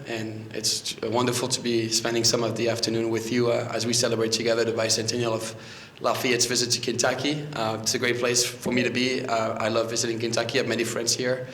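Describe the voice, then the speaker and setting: A man's voice: mid-range voice, flat delivery, a little fast, a bit bright, a little hiss or hum. A man in his thirties from France with a French accent, giving a history lecture at a podium microphone, conversational with frequent restarts. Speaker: guest lecturer. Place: library meeting room. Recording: echoey room.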